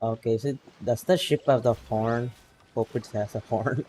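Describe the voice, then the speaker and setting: A man's voice: mid-range voice, steady tone, unhurried, dark tone, a little hiss or hum. A man streaming a video game, making laid-back, joking asides. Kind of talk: casual game stream commentary.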